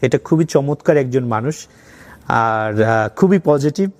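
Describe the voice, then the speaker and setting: A man's voice: mid-range voice, expressive, unhurried, a little mellow. A man with a Bangladeshi accent telling his own story conversationally as an interview guest, mixing in English words. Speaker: interview guest, college owner.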